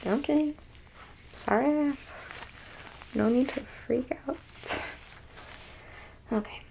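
A woman playing a haughty, squeamish alien abductor in an ASMR roleplay, whispering slowly and condescendingly as she coaxes a captive human. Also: sits high, darkish tone, slight background noise, exaggerated voice, poor audio. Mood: impatient coaxing. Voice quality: breathy whisper. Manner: slow, condescending, coaxing.

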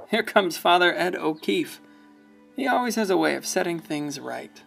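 A gossipy small-town man narrating a radio drama. He is wry and confiding, with a bright, creaky voice that runs rather high and moves animatedly. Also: exaggerated voice.

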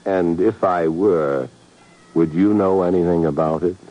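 An actor playing a cool, wry government agent in a 1950s radio drama, unhurried and understated. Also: male voice, deep voice. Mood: guarded. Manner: unhurried, hesitant pauses, wry. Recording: muffled, noisy 1950s radio recording.

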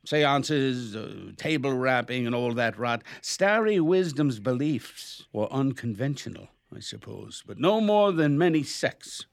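A man's voice: medium pitch, animated, a little slow, vocal fry, hardly any pauses. An actor playing an aging, crafty and haughty male lawyer in a radio drama, with pompous, theatrical phrasing. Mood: dismissive. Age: older. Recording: music bed behind the voice.

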